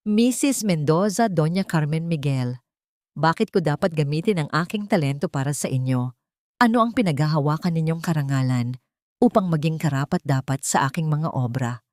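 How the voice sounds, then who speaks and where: A woman's voice: low voice, lively intonation, moderate pace, a little mellow, dry recording, clean studio sound. A woman voicing a mysterious female designer who speaks formally, with authority and a faint bitterness, in an audio story. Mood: cold, challenging.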